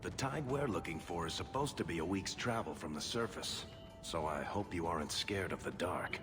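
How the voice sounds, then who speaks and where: An actor playing a roguish dwarf adventurer in a video game, chatty, wry and sardonic. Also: male voice, low voice, animated, moderate pace, over music. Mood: amused, wry. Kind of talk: video game character acting.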